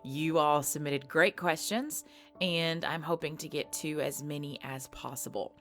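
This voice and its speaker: A woman's voice: low voice, unhurried. A woman hosting a casual subscriber Q&A for her audio channel, reading out fan questions and answering in a chatty, self-conscious way with many fillers. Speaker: ASMR audio creator hosting a Q&A. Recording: faint background music.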